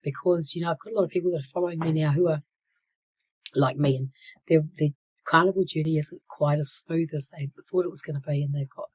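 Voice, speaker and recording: Woman in her 60s, hoarse from a cold, raspy croaky voice, lots of ums and restarts, slips into a whisper now and then. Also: sits low, steady tone, fast, low-quality recording. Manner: hesitant, many fillers and restarts, drops to a whisper at times. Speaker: channel host. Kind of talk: casual vlog talk.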